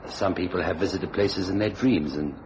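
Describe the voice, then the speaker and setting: A man's voice: sits low, normal speed, close to an everyday voice. An actor playing a courteous, curious English writer in a radio thriller, a well-spoken man with a polite, musing manner. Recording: noisy radio drama recording.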